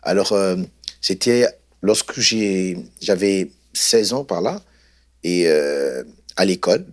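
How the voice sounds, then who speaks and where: A man from Congo, a guest pastor telling his life story in an unhurried, hesitant way. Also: deep voice, expressive. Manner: unhurried, hesitant, many fillers.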